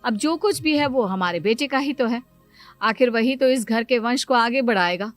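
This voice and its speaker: Scheming sister-in-law in a family moral tale, played by a woman: fairly high voice, persuasive, pushy about her son. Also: moderate pace, no room sound, faint music behind, close to an everyday voice. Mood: coaxing, insistent. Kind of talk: dramatised Hindi moral story acting.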